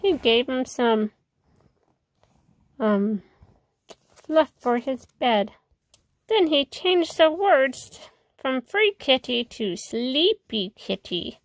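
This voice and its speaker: High-voiced woman reading a storybook aloud. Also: very slow, long pauses, no room sound. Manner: informal, expressive. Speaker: storybook reader. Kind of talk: animated children's read-along narration with casual asides.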